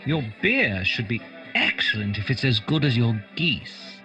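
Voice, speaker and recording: A man acts a brisk radio-play detective who has no patience for sentiment. His pitch is animated and swings widely as he gives orders and reasons aloud. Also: lo-fi sound, faint music behind. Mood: brisk, urgent. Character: brisk, impatient, commanding male detective, all business. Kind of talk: radio drama acting.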